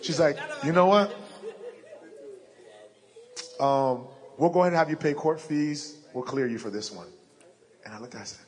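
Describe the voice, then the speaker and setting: A young adult man with a deep voice, preaching a sermon and telling a personal story in an animated way, acting out the lines he quotes. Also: normal speed, long pauses.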